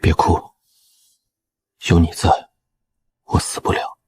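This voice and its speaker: A man acting a deep-voiced, stern loyal general. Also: moderate pace, husky. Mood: tender, weak. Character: stern loyal young general, fiercely protective husband. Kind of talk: Chinese costume drama dialogue.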